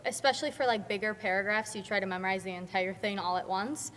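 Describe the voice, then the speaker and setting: A woman's voice: medium pitch, fast, a bit bright. Teenage girl in a reverberant hall. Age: teens. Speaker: student actor. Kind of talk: casual interview. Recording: echoing hall, faint background noise.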